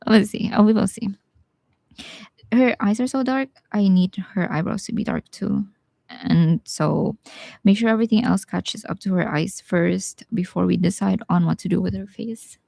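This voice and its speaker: A young woman with a Bisaya Filipino accent who teaches watercolor live, talking casually and thinking aloud as she paints, with some quiet asides. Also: medium pitch, measured pace, creaky, pauses often, dry recording. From Philippines. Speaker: watercolor artist streaming live. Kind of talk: live painting tutorial with chat replies.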